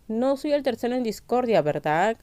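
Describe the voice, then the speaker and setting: Woman voicing an insecure, feisty young man, mellow and smooth. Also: fairly high pitch, big pitch swings, moderate pace, dry recording. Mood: anxious.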